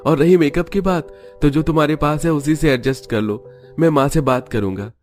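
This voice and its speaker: A man voicing a patient young husband in a cartoon story, calm and reassuring. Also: on the high side, moderate pace, soft background music, pitched above the performer's own voice, close to an everyday voice. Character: patient young husband defending his stingy mother.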